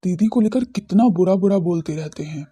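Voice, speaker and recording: Man acting as earnest young man, very dark mellow voice. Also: high-pitched, a little fast, husky. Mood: brooding, determined. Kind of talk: audio drama acting.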